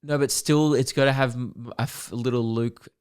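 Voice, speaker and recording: A young man with an Australian accent co-hosts a podcast in a casual, blokey way. His pitch sits in the middle, and he talks in a self-deprecating, chatty style. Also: fairly even intonation, normal speed, a little mellow, clear, resonant tone. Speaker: podcast co-host. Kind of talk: casual chat.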